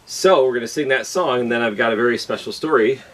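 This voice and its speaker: A man's voice: mid-range voice, quick pace, distant mic, soft background music, low-quality recording. A man hosting a children's storytime, explaining things simply and often rephrasing or repeating for young listeners. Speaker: library storytime host and singer.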